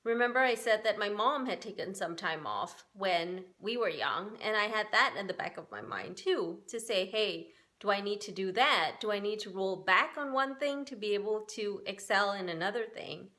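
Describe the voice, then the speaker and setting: A woman's voice: medium pitch, animated, normal speed, few pauses, pushed and bright. A woman in her forties from Singapore, giving an earnest, upbeat personal talk that encourages other women. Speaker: spiritual workshop host. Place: Bay Area, United States.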